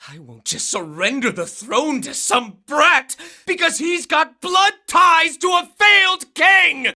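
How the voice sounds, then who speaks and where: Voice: high-pitched, lively intonation, slow pace, bright, forceful tone, full character voice. An actor voicing a haughty, bitter usurper in a video game, scornful and theatrical. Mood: scornful, furious. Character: haughty, bitter usurper ruler clinging to a stolen throne. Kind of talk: dramatic video game voice acting. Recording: music under the voice.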